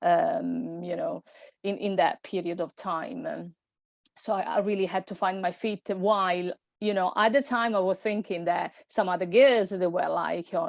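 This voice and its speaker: Italian woman, 40s, podcast guest; low-pitched, dry close mic, hesitant and chatty. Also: gravelly, a little mellow, dry recording. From Italy. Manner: hesitant, many fillers and restarts. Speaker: guest, personal stylist. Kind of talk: podcast conversation.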